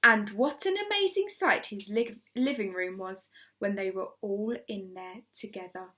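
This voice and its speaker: A woman's voice: medium pitch, a little slow, a bit bright. A woman reading a children's storybook expressively, as a primary school story reader, stressing key words.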